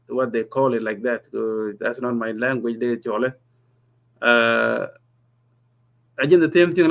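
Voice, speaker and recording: A man hosting a casual discussion, talking haltingly with many fillers and restarts. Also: medium pitch. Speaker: host. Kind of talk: casual discussion mixing languages.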